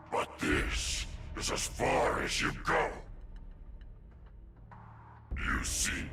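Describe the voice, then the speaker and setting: An actor playing an arrogant enemy commander in a video game, taunting with mocking, theatrical contempt. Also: male voice, sits low, gravelly, heavily acted. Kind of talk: dramatic video game voice acting.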